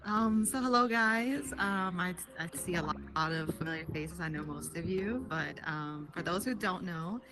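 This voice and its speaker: Hesitant lower-voiced woman, distant. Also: moderate pace, hardly any pauses, music in the background. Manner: hesitant, with many fillers. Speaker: artist presenter.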